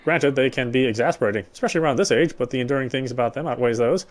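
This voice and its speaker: A man streaming a game, reading its text aloud as measured, dramatic narration with occasional stumbles. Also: medium pitch. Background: game music.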